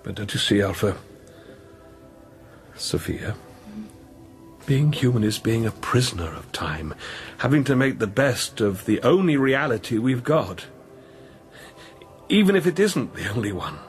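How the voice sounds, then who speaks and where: An actor playing a weary, guilt-laden priest in a radio drama, reflective and hesitant in manner. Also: male voice, mid-range voice, very animated, unhurried, long pauses, faint music behind. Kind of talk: naturalistic radio drama acting and narration.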